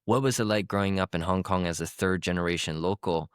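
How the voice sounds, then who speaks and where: A man's voice: low voice, fast talker, clear, resonant tone, dry recording, studio quality. A man hosting a podcast, reading a polished intro and then chatting casually with his guest. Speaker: podcast host.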